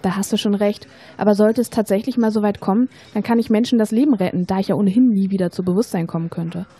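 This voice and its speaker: A young woman playing an informed, persuasive student in a scripted educational audio drama, explaining things in a measured, rehearsed way. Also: medium pitch, dark tone, breathy edge, no room sound. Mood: matter-of-fact, explanatory. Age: young adult. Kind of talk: scripted educational radio-play dialogue.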